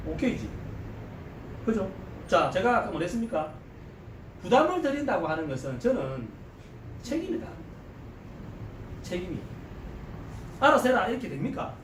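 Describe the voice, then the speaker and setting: A middle-aged man with a thick Gyeongsang accent lectures a seminar crowd, his fairly high voice leaping up and down as he jokes and throws out rhetorical questions. He sounds set back from the mic in an echoing hall, with a faint hum of ambience behind him. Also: moderate pace, long pauses, recorded from a distance. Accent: Gyeongsang Korean. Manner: animated, joking, quizzes the audience with rhetorical questions. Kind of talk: lively seminar lecture to a live audience.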